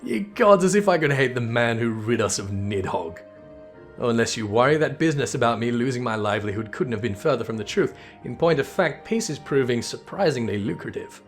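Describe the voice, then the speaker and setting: A man's voice: mid-range voice, lively intonation, fairly quick, faint music behind, close to an everyday voice. A man voicing a boisterous, sardonic mercenary spearman in a fantasy game, with wry, theatrical old-fashioned speech. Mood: jovial, wry.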